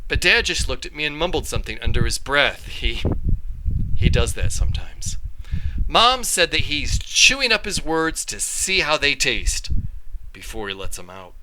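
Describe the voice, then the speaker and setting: A man reading a children's story aloud in an animated, expressive way, with dramatic pauses. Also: on the high side, slight background noise, low-quality recording. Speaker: read-along storyteller, teacher.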